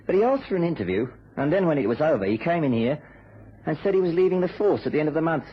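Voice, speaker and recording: An actor playing a matter-of-fact British police sergeant with a husky voice in a radio drama. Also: male voice, a little high, sing-song, fast talker. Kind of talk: mid-century radio drama acting. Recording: thin phone-like old radio sound.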